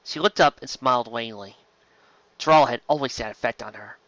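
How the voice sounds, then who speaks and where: Forceful male sci-fi story narrator, dry sound. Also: medium pitch, moderate pace, dry recording, lo-fi sound. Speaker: story reader. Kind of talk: dramatic narration of science fiction fan fiction.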